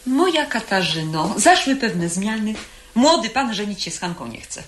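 An actress plays a worldly, scheming young woman in a 1970s radio drama. Her mid-pitched voice swoops widely as she coaxes and insists, repeating words in quick bursts. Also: sing-song, noisy background, natural voice. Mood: brisk, smooth-talking. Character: shrewd, cajoling young niece, worldly family schemer. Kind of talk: 1970s Polish radio play acting.

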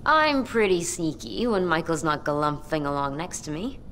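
A woman's voice: low-pitched, moderate pace, slight background noise. An actress playing a dry, sarcastic young woman survivor in a video game cutscene. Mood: sardonic. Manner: deadpan, sardonic.

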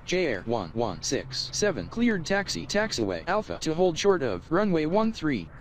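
A man's voice: fairly high pitch, wildly swooping pitch, normal speed. A man's synthetic-sounding voice giving scripted air traffic control instructions in stitched-together phrases. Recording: faint background noise.

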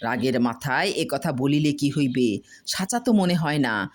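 Expressive low-pitched woman storyteller. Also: unhurried. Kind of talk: expressive narration of a humorous folk tale.

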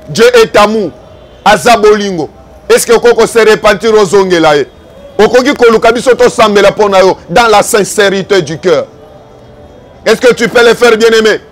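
A man with a Congolese accent preaching a fervent evangelical sermon, exhorting and accusing with repeated rhetorical questions. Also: high voice.

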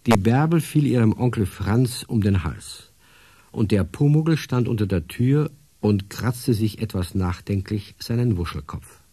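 A man narrating a children's radio play as a calm storyteller. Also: lowish voice, unhurried, slightly rough, no room sound.

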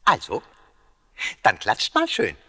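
An actor voicing a cheeky, boastful little ghost in a children's audio play, husky and exuberant. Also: male voice, medium pitch, wildly swooping pitch, slow. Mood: eager, playful. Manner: exuberant, swooping, self-important.

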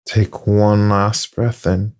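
A man leading a yoga nidra session, giving soft, calm, unhurried instructions with long pauses. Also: lowish voice. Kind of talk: slow guided meditation.

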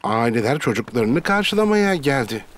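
A man narrating a children's cartoon in an expressive, clear storytelling style. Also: mid-range voice, moderate pace, lightly acted. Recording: loud music under the voice.

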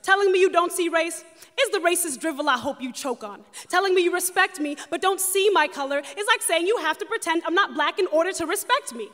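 A woman's voice: very high voice, animated, brisk, pushed and bright, in a large hall. A woman performing spoken word poetry with emphatic, rhythmic declamation.

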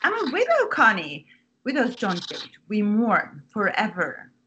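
A woman acting a nervous, doting, pushy widowed mother in a screenplay read, with a husky voice. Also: mid-range voice, wildly swooping pitch, a little slow, pushed and bright, muffled recording, slight background noise, heavily acted. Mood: theatrical. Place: indoors on a group video call. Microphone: video call.

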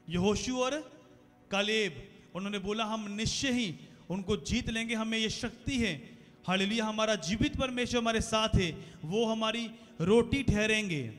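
A man in his thirties preaches into a handheld microphone in a large church hall, his voice pitched high. He keeps turning questions back to the congregation and builds up to loud calls of praise. Long reverb hangs in the room, with faint music behind. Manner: preaching with questions to the congregation, growing louder toward the close. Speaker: pastor. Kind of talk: sermon. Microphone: handheld mic.

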